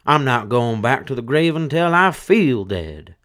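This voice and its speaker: A man's voice: medium pitch, big pitch swings, measured pace, fairly bright tone, voice pushed up. A man reading aloud and voicing a cheerful dead man who refuses to stay in his grave. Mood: puzzled. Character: cheerful undead man, dancing skeleton.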